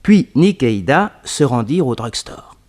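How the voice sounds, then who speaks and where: A man's voice: mid-range voice, big pitch swings, moderate pace, darkish tone. An actor narrating a French radio detective serial as a male storyteller, in a scripted storytelling style. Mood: narrative.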